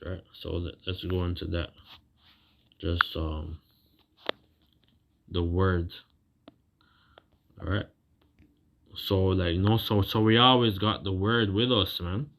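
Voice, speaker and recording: Male street-style preacher, deep voice, loose halting delivery with repeated phrases and casual check-ins, muffled lo-fi sound. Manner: colloquial, seeks agreement with tags like "right". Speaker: religious preacher. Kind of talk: informal sermon with scripture reading.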